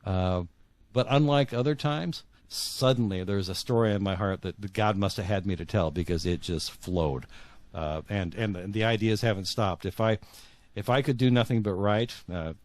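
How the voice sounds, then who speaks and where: Male interview guest, fairly low voice, earnest and conversational, dry close sound. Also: moderate pace, darkish tone, no echo. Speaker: guest author. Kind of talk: casual interview talk.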